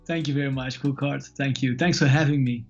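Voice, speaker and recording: A man from Belgium, a DJ guest, answering interview questions in a friendly, relaxed, somewhat hesitant way. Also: medium pitch, a little fast, slightly rough, muffled recording, soft background music. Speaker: DJ and producer guest.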